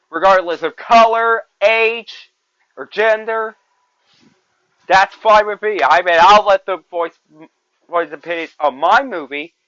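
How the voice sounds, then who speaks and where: Young man in his twenties, podcast host; high, bright voice; rambles and repeats himself; dry, muffled lo-fi recording. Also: dry recording. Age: 20s. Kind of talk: solo movie review podcast.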